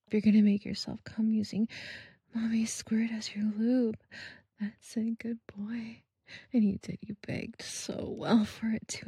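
A woman speaking in an intimate, teasing and commanding erotic roleplay style, in a breathy whisper close to the microphone. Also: medium pitch, warm tone, no room sound. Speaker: erotic audio performer.

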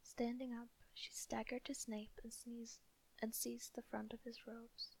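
A woman narrates a novel entirely in a whisper. Her voice is breathy and husky, pitched a little high, and stays flat and even. The reading is steady and soft. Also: a little slow, rough recording.

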